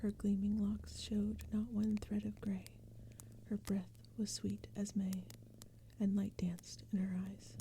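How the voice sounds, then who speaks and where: A woman reading poetry in a gentle, breathy ASMR whisper, unhurried and even, over crackling fire and forest sounds. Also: mid-range voice. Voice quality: breathy whisper, mostly unvoiced. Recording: steady background ambience noise.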